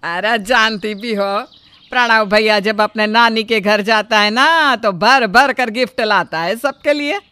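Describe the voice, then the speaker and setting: A woman voicing a bossy, sharp-tongued older mother-in-law who scolds and commands her family. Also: on the high side, unhurried, clear, resonant tone, no echo. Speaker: voice actor. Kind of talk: Hindi storybook drama acting.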